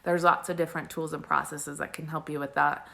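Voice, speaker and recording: Italian-raised woman in her 40s, coachy live stream chat, lots of um and like, bright tone. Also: low-pitched, level tone, a little fast, creaky. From Italy. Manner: casual, chatty, many fillers and frequent laughs. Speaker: spiritual coach. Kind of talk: live stream talk to viewers.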